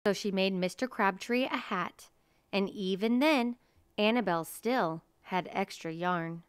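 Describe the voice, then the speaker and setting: Female picture-book narrator: unhurried, deliberate, smooth clear voice, close dry sound. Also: medium pitch, no room sound. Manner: measured, deliberate storytelling. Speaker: storybook reader. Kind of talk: children's picture book read-aloud.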